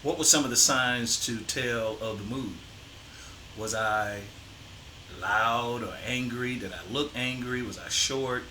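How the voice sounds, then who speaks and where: A middle-aged man speaks in a firm, emphatic way, leaning into his points. His voice is very bright and forward. The room is echoey, with a faint hiss behind him. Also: mid-range voice, slow pace. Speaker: host, father interviewing his son. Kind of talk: casual conversation.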